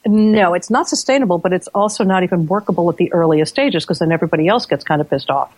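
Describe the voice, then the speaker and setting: A woman's voice: on the low side, animated, quick pace, darkish tone, slightly rough, no echo. A woman hosting a business podcast who explains her points conversationally and keeps checking in with tag questions. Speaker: podcast host.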